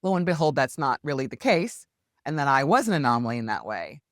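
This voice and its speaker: A woman speaking as a podcast guest, telling her story in an earnest, heartfelt and animated way. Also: very deep voice, fast, no room sound, studio quality. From United States. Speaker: podcast guest, hypnosis healer. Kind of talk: candid conversational storytelling.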